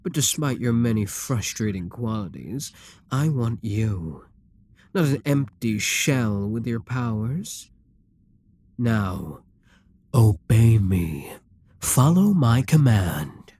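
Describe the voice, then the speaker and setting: A man playing an arrogant, seductive demon king in a dramatic ASMR roleplay, speaking in a deliberate, commanding, theatrical way. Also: on the low side, lively intonation, warm tone, breathy edge, heavily acted. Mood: cold, domineering.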